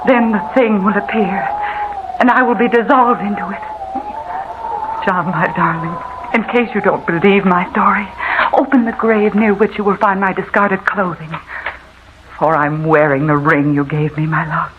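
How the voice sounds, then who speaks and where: An actress playing a doomed, haunted wife in melodramatic radio horror, breathy and full of dramatic pauses. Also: female voice, medium pitch, close to an everyday voice. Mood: resigned, fateful. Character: doomed, haunted wife telling her terrifying tale by letter. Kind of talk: 1950s radio horror acting. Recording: muffled, noisy vintage radio recording.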